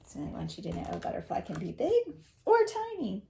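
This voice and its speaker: A woman teacher reading a children's picture book aloud and pausing to explain it in simple words. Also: mid-range voice, wildly swooping pitch, creaky, warm tone, slight background noise.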